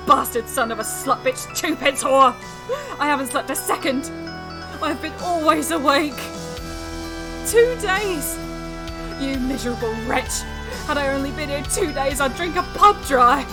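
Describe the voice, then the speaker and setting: A woman's voice: high-pitched, voice pushed up. A woman voicing a centuries-old, sleepless female fiend from a visual novel, bitter and foul-mouthed, with theatrical repetitions. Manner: theatrical, repeating words, cursing. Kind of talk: dramatic visual novel reading.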